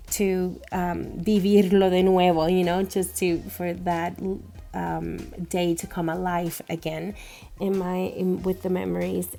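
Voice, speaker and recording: A Puerto Rican woman in her thirties talks slowly and gently, with a Spanish-speaker's accent and a mellow, low, gravelly tone. Her voice-over is calm and reflective, with soft fillers as she walks through her journal. Light music plays under her. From Puerto Rico. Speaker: journaling vlogger.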